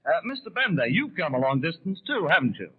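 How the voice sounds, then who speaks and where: A tinny vintage radio broadcast carries an American man working as an interviewer. His voice sits a little high and he talks very quickly. His questioning is formal and courteous, in a 1930s live news style. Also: sing-song, slightly rough. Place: convention hall broadcast room.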